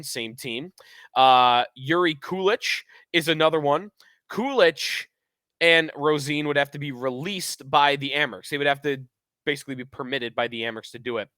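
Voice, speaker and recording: A man hosting a sports podcast, talking in an energetic, opinionated, chatty way while getting over a cold and losing his voice. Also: mid-range voice, no echo. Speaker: podcast host.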